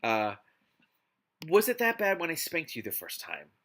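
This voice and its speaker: A man hosting a live read-aloud of a book, mixing narration with casual, exasperated and expressive commentary. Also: fairly high pitch, unhurried. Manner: exasperated, expressive asides while reading.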